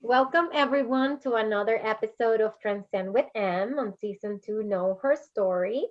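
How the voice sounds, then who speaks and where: A woman's voice: a little high, normal speed, highs cut off. A woman with a Puerto Rican Spanish accent, Spanish her first language, hosting an interview in a chatty way and laughing easily. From Puerto Rico. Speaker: podcast host. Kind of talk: conversational interview.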